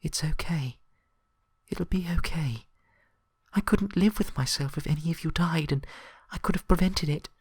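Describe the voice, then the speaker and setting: Man putting on a breathy, high-pitched timid pony girl. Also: moderate pace, no room sound. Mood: resigned, hesitant. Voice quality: breathy, whispery. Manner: hesitant, soft-spoken. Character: timid, gentle young female pegasus pony, hesitant and pleading.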